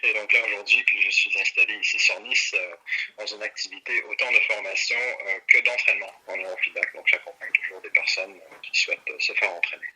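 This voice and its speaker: A man's voice: lowish voice, steady tone, fairly quick, pushed and bright, breathy edge, poor audio. A middle-aged man from Montreal, a native English speaker with an English Canadian accent, explaining his work as an interview guest. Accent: English Canadian accent in French. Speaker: interview guest, neurofeedback practitioner and trainer.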